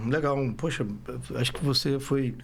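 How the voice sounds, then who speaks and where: A man's voice: medium pitch, fairly even intonation, moderate pace, a little mellow, slight background noise. A Brazilian man with a Minas Gerais accent, a startup founder talking casually as a podcast guest, rambling and often restarting his sentences. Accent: Brazilian Portuguese, Minas Gerais. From Brazil, born in Rio, raised in Belo Horizonte. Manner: rambling, self-correcting, frequent "né?".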